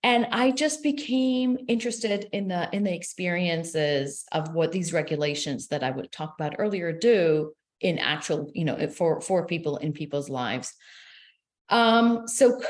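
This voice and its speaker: A middle-aged woman from Israel, Hebrew her first language, speaks in a fairly low voice. She talks through her research as an author being interviewed. She is thoughtful, leans on ums, and her pitch rises and falls. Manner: thoughtful, frequent ums, lively pitch swings. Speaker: sociology professor and author. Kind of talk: interview conversation.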